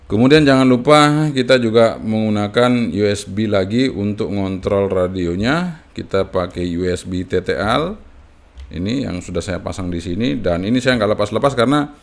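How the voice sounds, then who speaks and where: A man's voice: lowish voice, creaky. A man gives a casual hands-on tutorial as a hobbyist presenter, explaining piece by piece and often tagging phrases with a short confirming word. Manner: halting, piecemeal explaining with frequent "ya" tags.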